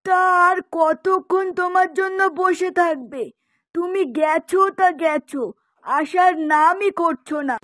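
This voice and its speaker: Woman acting bossy, very high-pitched village housewife. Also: slow, a bit bright, dry recording, clean studio sound, exaggerated voice. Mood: scolding, impatient. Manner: scolding, commanding. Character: bossy nagging village housewife, scolding her husband. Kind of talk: Bengali moral-story cartoon voice acting.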